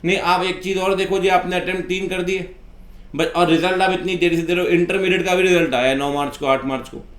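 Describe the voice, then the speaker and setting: A man, an accountancy teacher giving an impassioned, informal rant in an exasperated, reproachful way full of rhetorical questions. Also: high voice. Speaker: accountancy teacher and video host. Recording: echoey room.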